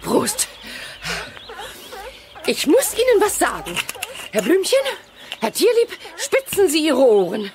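Frantic, high-pitched newspaper reporter woman. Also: wildly swooping pitch, slow pace, pauses often, background noise, heavily acted. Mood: alarmed, melodramatic. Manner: theatrical, gushing, exaggerated. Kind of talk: children's audio drama acting.

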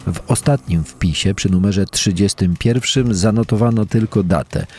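A man playing a sober investigator, reporting evidence in a measured, formal, scripted true-crime reading with a husky voice. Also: on the low side, a little mellow.

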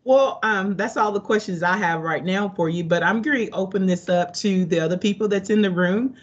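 A woman's voice: medium pitch, fairly quick, breathy edge. A middle-aged woman hosting an informal interview over a video call. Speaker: seminar host and interviewer. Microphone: video call microphone. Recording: dull, lo-fi video call audio.

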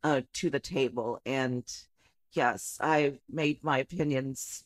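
A woman from the Rio Grande Valley in Texas, a social worker guest telling her story with a lively manner and easy laughs. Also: a bass voice, slow pace, dry recording. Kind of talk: podcast interview.

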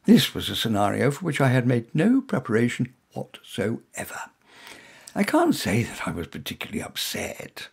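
A man reads his own short story aloud, wry and conversational. His voice is gravelly and a touch husky. His pitch rises and falls freely as he tells it. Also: normal speed. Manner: dry, wry, conversational delivery with lively pitch. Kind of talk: first-person storytelling.

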